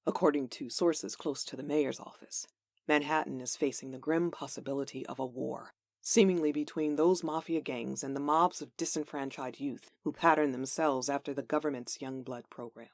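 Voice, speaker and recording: A woman's voice: extremely low pitch, fairly even intonation, normal speed, no room sound, clean studio sound. A woman playing a serious television news reporter, reading scripted news in a comic dub. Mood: serious, matter-of-fact.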